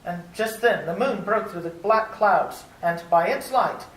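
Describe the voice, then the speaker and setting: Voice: mid-range voice, unhurried, fairly bright tone, natural voice. An actor playing an earnest, frightened English traveller held prisoner, telling the tale dramatically in an old-time radio drama. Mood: tense, awed. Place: live performance at a public library. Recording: roomy, distant, noisy live recording.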